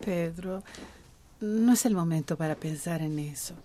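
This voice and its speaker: An actress playing a guarded but tender activist in a radio drama, brisk and easily flustered. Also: female voice, lowish voice, mellow, a little hiss or hum, close to an everyday voice. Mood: soft, shy.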